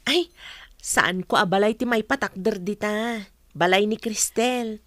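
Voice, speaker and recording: A woman playing a chatty village mother in an audio drama, conversational and expressive, quick to defend herself. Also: medium pitch, no room sound, lightly acted. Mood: defensive.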